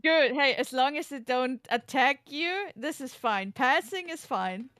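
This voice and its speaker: The woman speaks slowly and thoughtfully, with a smooth, clean voice pitched a little high. She chats casually about the game as she plays. The sound is dry and close, with no room in it. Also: expressive, fairly bright tone, no echo. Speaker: streamer. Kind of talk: casual gaming chat.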